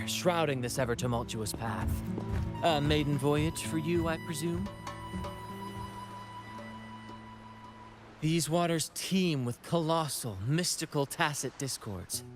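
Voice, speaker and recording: An actor playing a flamboyant, theatrical ship captain in a video game, with grand, courtly phrasing. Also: male voice, fairly high pitch. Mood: courtly, thoughtful. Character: flamboyant swashbuckling ship captain leading a merry theatrical troupe. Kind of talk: video game character acting.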